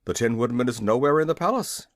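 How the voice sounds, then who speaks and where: A man's voice: medium pitch, lively intonation, fast, no room sound, studio quality, lightly acted. A man voicing a scheming, blustering gnome king in a storybook, easily frightened and given to anxious pleading.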